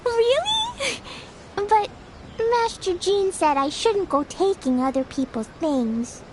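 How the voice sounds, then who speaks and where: A woman's voice: extremely high pitch, very animated, slow, heavily acted, poor audio. A woman voicing a sweet, wide-eyed little girl in a video game, eager and innocent, with childish wording. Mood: curious, hesitant. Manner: childish wording, gushing wonder, hesitant questions. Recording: loud noise behind the voice.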